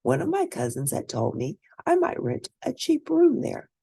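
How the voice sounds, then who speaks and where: A woman with a husky, creaky voice reads a story aloud in the first person. Her delivery is deliberate and expressive, with plenty of rise and fall. The sound is dry, with very little room. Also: fairly low pitch, dry recording. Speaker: read-aloud narrator.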